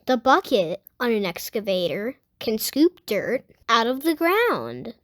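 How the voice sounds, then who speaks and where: A child host reading a picture book aloud for young listeners, addressing them directly in an excited way. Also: medium pitch, takes it slowly, noisy background. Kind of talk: storybook read-aloud with enthusiastic channel intro and sign-off.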